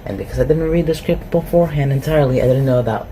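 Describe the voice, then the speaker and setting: An ASMR performer chatting casually and unscripted to listeners, with a halting, self-deprecating manner. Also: mid-range voice, warm tone. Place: at home in an empty house. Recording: clearly noisy home recording.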